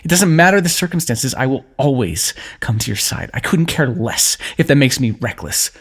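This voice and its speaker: A man's voice: mid-range voice, lively intonation, moderate pace, husky. A man voicing a protective, lovesick warrior king in a fantasy ASMR roleplay, pleading earnestly with the listener. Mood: pleading, tender.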